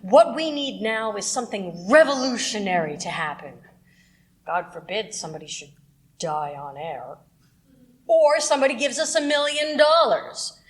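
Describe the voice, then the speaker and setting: A woman playing a bitter, overworked radio station manager in a radio play, domineering and sharp-tongued. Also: on the high side, animated, moderate pace, close to an everyday voice. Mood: scornful, self-righteous.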